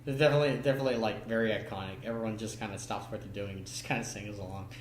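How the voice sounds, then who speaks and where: A man chatting casually on a podcast about cartoons and games, halting and peppering his talk with "like". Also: fairly low pitch, fairly even intonation. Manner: halting, many "like" fillers. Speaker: podcast guest or co-host. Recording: roomy echo, noisy background.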